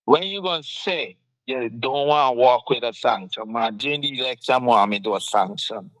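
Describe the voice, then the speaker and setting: A man's voice: mid-range voice, moderate pace, no room sound, poor audio. A man with a Guyanese accent hosting a casual political talk show, passionate and firing off rhetorical questions.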